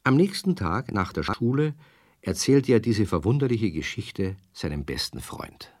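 A man's voice: on the low side, big pitch swings, unhurried, no room sound, studio quality. A man narrating a children's radio story, speaking directly to the young listeners. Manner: warm, addresses children directly.

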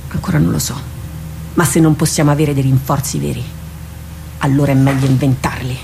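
An actress playing a proud, weary warrior captain in a radio drama, speaking in a measured, declamatory way. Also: female voice, very deep voice, steady tone, vocal fry, noisy background. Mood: commanding. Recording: music under the voice.

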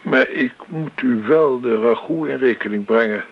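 Very gravelly, formal waiter. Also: male voice, medium pitch. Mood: politely insistent. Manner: formal, measured, servile. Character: polite, deferential, insistent male restaurant waiter. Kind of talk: radio drama acting. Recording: muffled, noisy old radio play recording.

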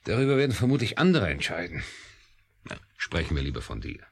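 A man's voice: deep voice, big pitch swings, fairly quick, vocal fry, a little hiss or hum, heavily acted. A man playing a flustered, irritable, anxious professor in a German radio drama, fretful and exclamatory. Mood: resigned.

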